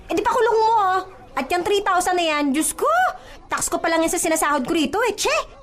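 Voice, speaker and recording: A woman's voice: extremely high pitch, expressive, a little fast, a little hiss or hum. A woman playing a feisty, playful young housemaid in a Filipino radio comedy-drama, girlish, theatrical and exclamatory. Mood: sassy, dismissive. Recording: music behind the voice.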